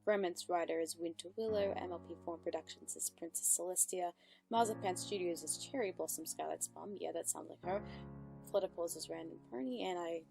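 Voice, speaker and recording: A woman's voice: fairly low pitch, fairly quick, creaky, music in the background. A woman hosting casual reaction commentary, chatty and upbeat, full of fillers and self-corrections. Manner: giggly, upbeat. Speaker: reaction channel host.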